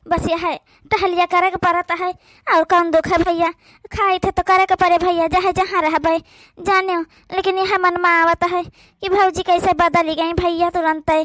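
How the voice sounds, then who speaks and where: A woman's voice: very high voice, no room sound. A woman with an Awadhi accent voicing an overworked young sister who speaks plaintively in a cartoon. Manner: plaintive, complaining softly. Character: overworked young unmarried sister, sad and gentle. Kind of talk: cartoon voice acting.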